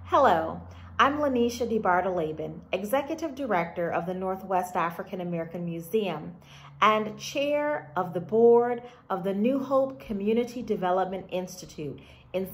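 A middle-aged woman, a museum director giving a prepared, earnest address from a home office on a webcam. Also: medium pitch. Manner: slow, measured, earnest. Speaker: museum director, guest speaker.

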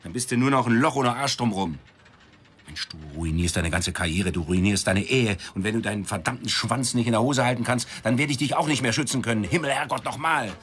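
An actor playing a foul-mouthed, sarcastic newspaper editor in a radio drama, blustering and sardonic. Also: male voice, mid-range voice, fairly quick, a bit bright, slight background noise, close to an everyday voice. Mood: exasperated.

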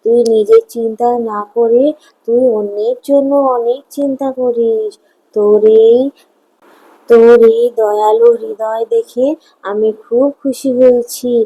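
A woman plays a benevolent old goddess disguised as an old woman in a cartoon fairy tale. She speaks very slowly and solemnly in a fairly high, mellow voice, as if bestowing a blessing. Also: slightly rough, poor audio. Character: kindly old goddess in old-woman guise blessing a poor man.

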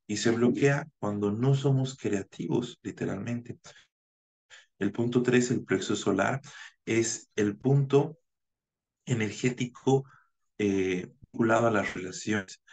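A man in his thirties from Bolivia, a naturopath giving a measured online conference lecture over a webcam, with frequent hesitation fillers. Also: on the low side, vocal fry, darkish tone. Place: home room, indoors. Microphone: video call webcam.

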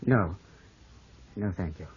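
A man acting a brooding, suspicious young gentleman with an intense, dramatic manner in a 1950s radio play. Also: low voice, slow, slightly rough, full character voice. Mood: sullen. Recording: muffled old radio broadcast.

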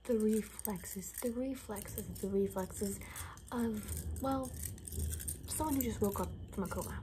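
A young woman speaking in a soft, breathy whisper, improvising a casual, rambling ASMR roleplay with a gently sardonic manner. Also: mid-range voice, unhurried. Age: young adult. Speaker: ASMR creator playing a caretaker. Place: small home bedroom, indoors. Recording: faint background room noise.

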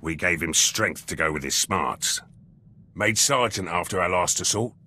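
Gruff, deep-voiced soldier. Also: male voice, moderate pace, pushed and bright, exaggerated voice. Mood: businesslike. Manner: clipped, terse sentences. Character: gruff, blunt military lieutenant, seasoned commando. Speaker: game voice actor. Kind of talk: video game dialogue.

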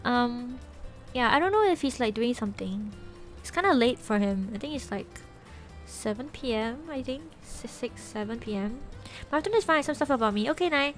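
A young woman with a Singaporean English accent and a slightly high voice, chatting loosely with her stream viewers. She giggles often and drifts between half-finished sentences. She speaks into a desk mic in a small bedroom, with faint game music underneath. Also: lively intonation, fairly quick. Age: young adult. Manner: chatty and giggly, trailing off and restarting. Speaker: Twitch streamer. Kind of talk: casual live-stream chat with viewers. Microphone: desk microphone with headset.

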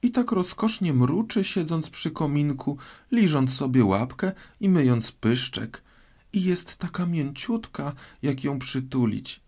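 A man puts on an earnest little girl from a storybook, high in pitch and full of lilting swings. She is polite and chatty, easily flustered and keen to smooth things over. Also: lively intonation, measured pace, mellow, full character voice. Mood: eager chatter. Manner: earnest, apologetic, conciliatory. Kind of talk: storybook character voice.

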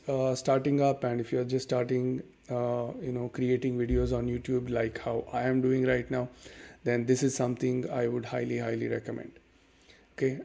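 A man in his thirties with an Indian accent, hosting a casual software tutorial at his desk and walking through each step with plenty of fillers. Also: medium pitch, creaky. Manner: relaxed, many fillers and "okay"s, explains step by step. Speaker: tutorial host. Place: dim room at home.

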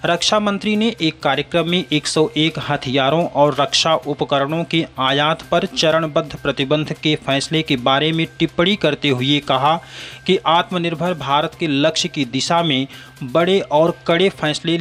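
Higher-pitched man reading a news bulletin. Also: fairly bright tone. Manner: formal, measured reading. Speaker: news presenter. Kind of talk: scripted news bulletin narration. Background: background music.

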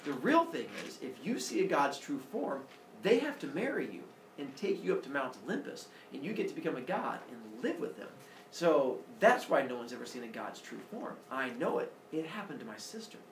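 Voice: mid-range voice, sing-song, moderate pace, slight background noise. Myth storytelling role: crafty older female deity, persuasive, slightly distant and roomy done by a storyteller. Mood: sly. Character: sly, scheming older goddess, casually persuasive.

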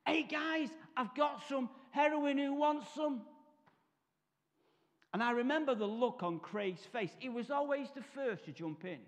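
High-voiced Mancunian man telling his story. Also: measured pace. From Salford, Manchester. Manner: storytelling with comic asides and acted-out voices. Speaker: guest speaker. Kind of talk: testimony talk at a church service. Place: large church hall, stage. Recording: reverberant church hall.

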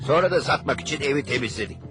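A man's voice: medium pitch, lively intonation, very fast, music in the background, lightly acted. A man playing a middle-aged narrator in a cartoon, wryly recalling his childhood in scripted storytelling. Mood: wry, nostalgic. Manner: wry.

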